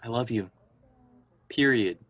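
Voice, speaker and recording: A young man acting in an intimate radio drama as an earnest, hesitant lover, halting and understated. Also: mid-range voice, fairly bright tone, husky. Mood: earnest, subdued. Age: young adult.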